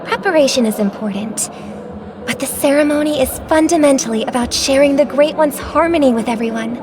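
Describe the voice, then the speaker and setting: A woman's voice: high voice, fairly quick, crowd noise, lightly acted. A woman playing a gracious young superstar singer in a video game, speaking politely and formally. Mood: gracious, calm. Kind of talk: scripted game voice acting. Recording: loud music behind.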